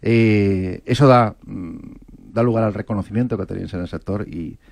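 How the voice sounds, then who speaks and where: A man's voice: fairly low pitch, moderate pace, vocal fry, a little mellow, no echo. A man hosting an interview, joking and exclaiming in a playful, theatrical way. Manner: jokey, theatrical, emphatic exclamations. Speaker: podcast host.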